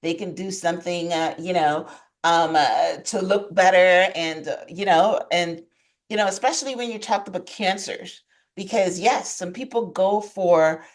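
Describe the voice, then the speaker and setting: A woman's voice: lowish voice, unhurried. A woman from Guyana hosting a podcast, chatting casually and encouraging her guest. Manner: warm encouraging interjections, laughs often. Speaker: podcast host. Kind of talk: casual interview chat.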